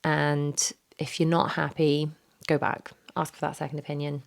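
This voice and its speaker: Hoarse, gravelly woman podcaster. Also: low voice, little pitch movement, normal speed. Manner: chatty, candid, rambling with many fillers. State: hoarse, recovering from illness. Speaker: podcast host. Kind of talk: casual solo podcast chat. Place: home office. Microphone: studio microphone.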